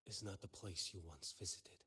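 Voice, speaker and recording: A man's voice: low voice, moderate pace, pushed and bright, slightly rough, full character voice. A man voicing a stern, brooding warrior in a video game, terse, grave and commanding. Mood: stern resolve. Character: stern, brooding swordsman, a cold avenging warrior.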